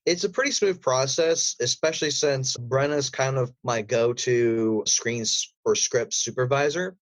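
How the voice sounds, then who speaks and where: A man speaking as a podcast guest, telling stories about creative work in a casual interview style with an animated manner. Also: mid-range voice, unhurried, no room sound. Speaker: podcast guest, voice actor and audio drama creator. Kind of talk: casual interview chat, storytelling.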